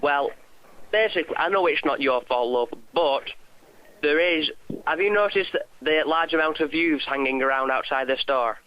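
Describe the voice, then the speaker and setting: A man's voice: fairly high pitch, moderate pace, lightly acted. A man voicing an indignant eighty-two-year-old man who grumbles, rambles and repeats himself on a prank phone call. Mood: indignant, grumbling. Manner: grumbling, rambling, repetitive, mildly sweary. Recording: muffled lo-fi phone line.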